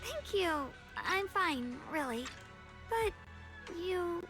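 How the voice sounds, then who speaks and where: A young anime-game swordswoman with a high voice. She is polite and considerate, with a shy, hesitant delivery that gets flustered easily. Game music plays underneath. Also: animated, extremely slow, full character voice.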